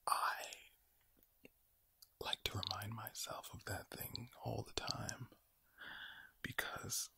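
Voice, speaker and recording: A man speaking in a low, breathy, villainous-sounding whisper, slowly and repetitively reassuring the listener in ASMR style. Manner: slow, repetitive, soothing address to the listener.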